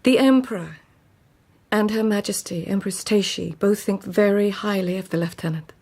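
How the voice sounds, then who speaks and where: An actress playing a proud, witty court lady in a radio drama, with formal, measured speech and a husky, creaky voice. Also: medium pitch, darkish tone, natural voice. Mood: deferential, careful. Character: proud, witty Japanese court lady, deferential yet flustered. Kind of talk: radio drama acting with first-person narration.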